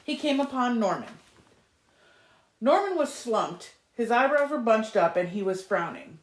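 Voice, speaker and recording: Woman doing kids storytime, slow and expressive, pitch goes all over, bit echoey room. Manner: expressive, with small asides to young listeners. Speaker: library storytime reader. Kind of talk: children's storybook read-aloud.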